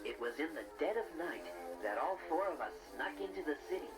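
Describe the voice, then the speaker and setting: Acted earnest talking bear, gentle storyteller, animated intonation, children's read-along, musical backing. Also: mid-range voice, fairly quick, over loud music, close to an everyday voice, low-quality recording. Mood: adventurous storytelling.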